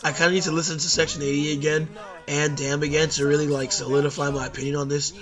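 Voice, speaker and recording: A hoarse young man ranking albums in a rambling, casual talk, full of fillers and self-corrections. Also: a little high, flat delivery, fast talker, fairly bright tone. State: hoarse from losing voice at a concert. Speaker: YouTube music reviewer.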